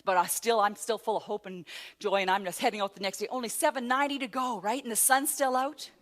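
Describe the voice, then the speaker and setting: A middle-aged woman, a motivational speaker, telling a self-mocking story to a live audience, rising to loud exclamations. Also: fairly high pitch, steady tone, fast talker. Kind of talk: storytelling keynote talk.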